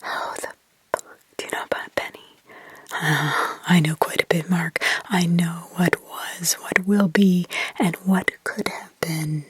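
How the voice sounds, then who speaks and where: A woman's voice: lowish voice, fairly even intonation, slow, husky, no room sound, pitched below the performer's own voice, full character voice. A woman voicing a possessed little girl who sounds both childlike and demonic, whispering with menace. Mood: menacing. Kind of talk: whispered horror story reading.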